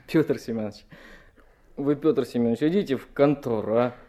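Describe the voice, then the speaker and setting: An actor playing an elated, naive young truck driver, excitable and deferential, in a Soviet radio play. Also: male voice, medium pitch, moderate pace, natural voice. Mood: eager, impatient. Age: young adult. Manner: excitable, eager to please.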